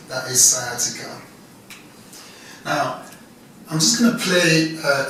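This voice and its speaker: A man in his fifties with a Ghanaian accent, giving an informal public talk as an osteopath in a halting, deliberate way. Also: mid-range voice, sharp tone. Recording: echoey room, distant mic, faint noise.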